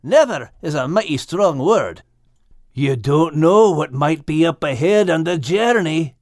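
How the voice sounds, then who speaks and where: A man's voice: on the high side, animated, a little slow, a little mellow, no echo, studio quality. A man voicing a hearty, adventurous dog with a Scottish accent in a children's story. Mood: cautioning.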